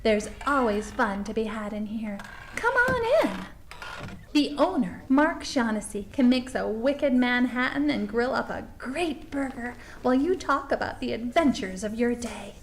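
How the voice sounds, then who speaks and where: A woman's voice: a little high, expressive, unhurried, slight background noise. A woman playing an upbeat, gushing presenter who narrates and interviews for a promotional small-town tourism documentary. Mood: bright, promotional.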